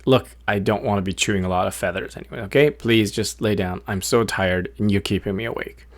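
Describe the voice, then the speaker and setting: A man voicing a big, tired, grumpy but soft-hearted wolf in a children's story, with weary grumbling. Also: fairly low pitch, a little fast, lightly acted. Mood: weary bargaining.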